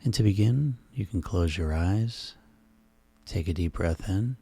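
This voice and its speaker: Male hypnosis guide: very low, dark and relaxed tone, soothing and hushed, gently instructing a listener toward sleep. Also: a little slow, gravelly, husky.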